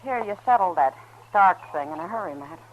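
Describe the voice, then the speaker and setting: A woman's voice: fairly low pitch, animated, a little fast, lightly acted. An actress playing a frank, knowing frontier saloon woman in an old-time radio western, casual in manner. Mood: frank, sympathetic. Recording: muffled, noisy old radio recording.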